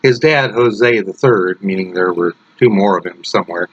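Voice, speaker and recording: A man reading his own story aloud as a dramatic narration, with dark humour and wry, deliberate phrasing. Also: deep voice, dull audio, slight background noise. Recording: loud music under the voice. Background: prominent background music.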